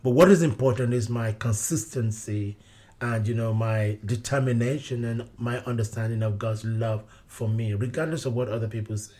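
A man, a pastor answering interview questions in a measured, earnest way, often saying "you know". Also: medium pitch, fairly even intonation, mellow. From Nigeria.

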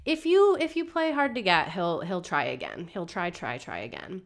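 A woman co-hosting a casual podcast chat, slightly husky from a cold and quick with sarcastic jokes. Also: fairly low pitch, big pitch swings. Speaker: podcast co-host. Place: New York.

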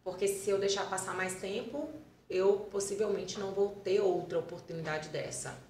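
A woman's voice: medium pitch, normal speed, darkish tone, echoey room, a little hiss or hum, poor audio. A woman with a Brazilian Portuguese accent, a dance school founder and teacher, telling stories in a casual podcast interview and repeating words for emphasis.

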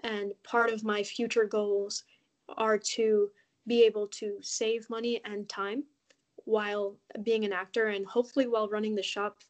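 A woman from Mexico, a podcast guest, talking in a relaxed, chatty way with frequent ums. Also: mid-range voice, normal speed. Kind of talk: casual interview conversation.

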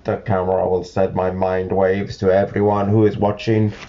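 A man's voice: low-pitched, level tone, moderate pace, mellow, muffled recording. A man voicing a boastful, angry gorilla supervillain from a children's storybook. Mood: boastful scheming.

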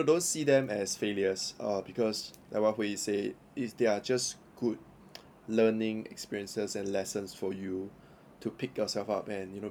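A man's voice: on the low side, darkish tone, a little hiss or hum. A young adult man with a Singaporean accent, co-hosting a podcast in casual chat, rambling with many fillers and joking around. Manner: rambling, filler-heavy, playful. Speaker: podcast co-host.

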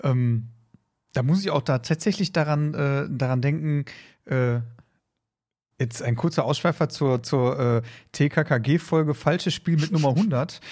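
A man with a slightly high voice talks as a podcast co-host in casual discussion. He rambles along, circling back over his words and voicing little quotes as he goes. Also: unhurried, a little mellow. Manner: rambling, repeats words, acts out short quotes. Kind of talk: casual discussion of an audio drama.

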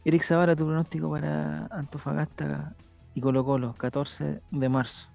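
A man's voice: a little high, level tone, normal speed, creaky, darkish tone, muffled recording, faint music behind. A Chilean man hosting a casual football podcast, joking and slangy as he hands out the questions. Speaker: host.

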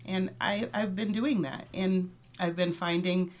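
A woman's voice: fairly low pitch, steady tone, measured pace. A woman in her forties, a podcast guest speaking earnestly and openly, laughing easily. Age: 40s. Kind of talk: casual chat.

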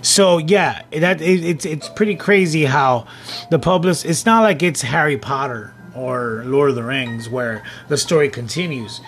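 A man's voice: a little high, unhurried, soft background music. A man hosting a casual film commentary, partly reading from notes, in a rambling way with repeated words and phrases. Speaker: host of a film commentary show.

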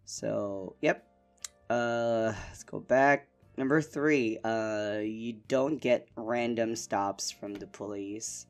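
Man, young adult, from the Philippines, podcast host, slow unhurried rambling talk. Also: lowish voice, faint music behind. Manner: relaxed, rambling, frequent fillers. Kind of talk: casual solo podcast talk.

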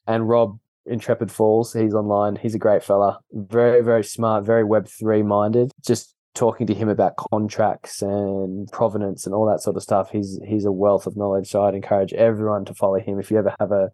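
Laid-back Australian man, dark voice. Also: fairly low pitch, moderate pace, hardly any pauses, dry recording. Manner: relaxed, laughs often, says mate. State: injured foot, on painkillers. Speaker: guest. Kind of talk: casual interview chat.

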